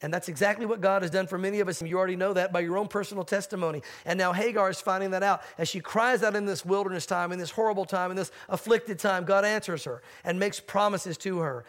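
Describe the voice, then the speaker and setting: A man's voice: high voice, steady tone, fast talker, few pauses, fairly bright tone. A man preaching a sermon as pastor, stressing his points by repeating phrases.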